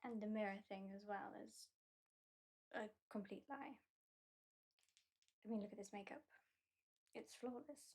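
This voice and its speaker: A British woman voicing a haughty, mocking female vampire in an ASMR roleplay, soft-spoken and often whispering. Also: mid-range voice, measured pace, pauses often, breathy edge. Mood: teasing. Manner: soft-spoken, drifting into whispers, dryly sardonic. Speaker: ASMR performer.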